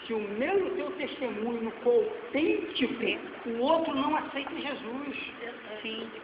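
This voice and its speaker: Man in his forties, Brazilian accent, very high voice, dull lo-fi church recording with long reverb. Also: lively intonation, normal speed. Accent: Brazilian Portuguese. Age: 40s. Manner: swings between loud exhortation and quiet asides. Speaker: preacher. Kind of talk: sermon with slides. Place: church hall. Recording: echoey hall, dull lo-fi sound, faint noise.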